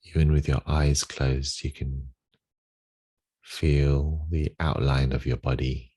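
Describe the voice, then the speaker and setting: Very deep-voiced man guiding meditation, unhurried. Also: flat delivery, husky. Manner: gentle, unhurried instruction with long pauses. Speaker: meditation guide. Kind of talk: guided meditation.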